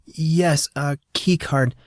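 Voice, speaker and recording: A man's voice: on the high side, drawn-out words, no room sound, studio quality, pitched above the performer's own voice. A man putting on a fussy, doting guardian woman. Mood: absent-minded. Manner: fussy, doting, easily excited. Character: excitable fussing motherly woman guardian.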